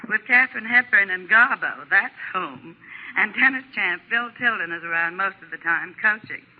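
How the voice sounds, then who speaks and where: An American woman playing a brisk, chatty Hollywood gossip columnist in a scripted 1940s radio segment. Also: mid-range voice, expressive, narrow, tinny audio, close to an everyday voice. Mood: chatty, knowing. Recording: band-limited 1940s radio recording.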